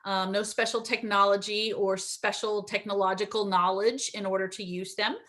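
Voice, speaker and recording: A woman's voice: medium pitch, steady tone, normal speed, fairly bright tone. A middle-aged woman, a university teacher trainer, giving an upbeat online training session over a video call, with frequent ums.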